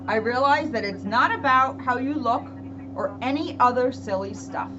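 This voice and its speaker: A woman police officer speaking to children in an expressive, storybook read-aloud manner, with emphatic pauses. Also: high-pitched. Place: indoors at a public library. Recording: dull lo-fi audio with clear background noise.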